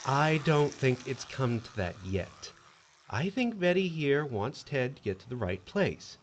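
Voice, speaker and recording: An actor playing a calm, persuasive afterlife agent in an audio drama, measured, formal and reassuring. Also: male voice, mid-range voice, a little hiss or hum. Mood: conciliatory.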